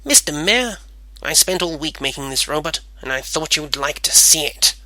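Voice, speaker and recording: Eager male inventor, acted by a storyteller, proud, lively intonation, forceful, dry sound, storybook character. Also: fairly high pitch, moderate pace, no room sound. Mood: eager, earnest.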